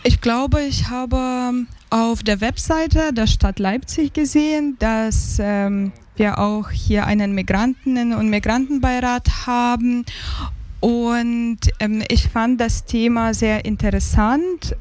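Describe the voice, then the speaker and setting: A woman in her thirties speaks with a Ukrainian accent at a very unhurried pace. She is an interview guest answering off the cuff, often hesitating as she searches for the right words. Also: on the high side, a little mellow. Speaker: interview guest, advisory board member.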